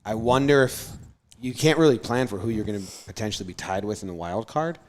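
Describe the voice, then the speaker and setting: A man's voice: on the low side, lively intonation, a little fast, slight background noise. A young man co-hosting a casual sports show, teasing his friends and working through standings aloud. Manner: teasing, thinks aloud with restarts. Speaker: podcast co-host.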